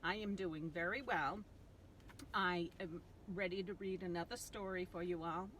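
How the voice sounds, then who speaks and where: A woman's voice: fairly low pitch, fairly even intonation, normal speed, a bit bright, a little hiss or hum, poor audio. An older woman in a grandmother's role reading aloud to young children, affectionately addressing her listeners directly.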